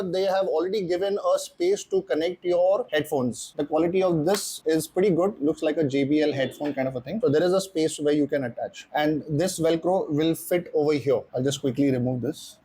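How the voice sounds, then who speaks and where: A man with a fairly high, husky voice. He talks like a casual vlogger walking viewers through a product, explaining each step in an informal, practical way. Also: warm tone. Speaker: motorcycle vlogger and presenter. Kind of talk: casual unboxing and installation walkthrough.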